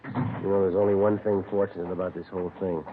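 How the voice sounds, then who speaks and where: A deep-voiced American police sergeant, played by a male actor, tells his case in a clipped, matter-of-fact deadpan. It is vintage radio crime drama, muffled, with some hiss. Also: fast, lightly acted. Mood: grim. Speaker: police detective narrator. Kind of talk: 1940s-50s radio crime drama acting.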